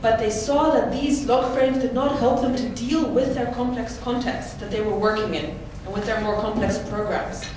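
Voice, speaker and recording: A woman from the Netherlands giving a conference presentation, explaining clearly with occasional fillers. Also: a little high, steady tone, moderate pace, few pauses. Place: large conference hall. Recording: echoey hall, mic from far off, noisy.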